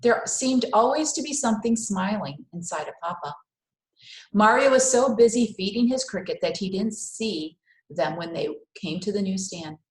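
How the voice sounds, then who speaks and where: A woman's voice: mid-range voice, lively intonation, moderate pace. Woman reading a storybook in an echoey room. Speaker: read-aloud host. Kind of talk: children's storybook read-aloud. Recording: roomy, echoing indoor sound.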